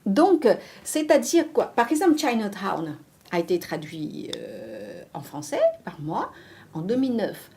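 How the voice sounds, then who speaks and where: Animated woman, dark voice. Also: mid-range voice, measured pace. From Vietnam. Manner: animated, many restarts and fillers. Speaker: literary translator. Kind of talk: conversational interview discussion.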